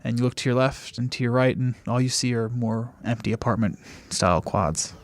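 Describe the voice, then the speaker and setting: A man's voice: medium pitch, brisk, no echo. A man acting as game master, improvising narration for a tabletop game in a conversational way.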